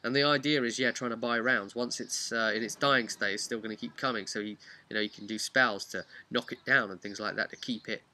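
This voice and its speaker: Bright, forceful man recapping a game. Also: medium pitch, steady tone, brisk, hardly any pauses. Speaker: game master recapping a tabletop campaign. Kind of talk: casual unscripted storytelling recap.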